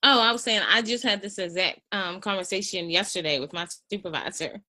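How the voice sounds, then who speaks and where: A woman on a group video call, telling a story in an animated way and acting out what she said. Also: mid-range voice, brisk, no room sound. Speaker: participant in a coaching group call.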